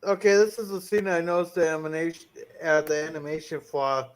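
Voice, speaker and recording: A man on a review panel, chatting casually in a somewhat halting way. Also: on the high side, level tone. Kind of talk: casual chat.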